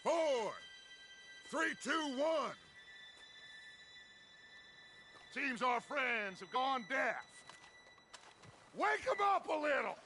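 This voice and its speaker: An actor playing a theatrical, authoritative American outlaw gang leader in a western video game. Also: male voice, extremely high pitch, very animated, slow pace, pauses often, sharp tone, soft background music, exaggerated voice. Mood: threatening. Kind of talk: dramatic video game acting.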